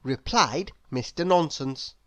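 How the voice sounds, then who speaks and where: A woman's voice: low-pitched, slow pace. A woman reading a children's story aloud expressively, putting questions to the listener. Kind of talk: children's read-aloud narration.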